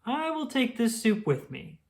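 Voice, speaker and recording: A woman's voice: a little high, very animated, a little slow, darkish tone, voice pushed up. A woman voicing a timid but brave little girl squirrel in a children's storybook, anxious and exclaiming. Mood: worried.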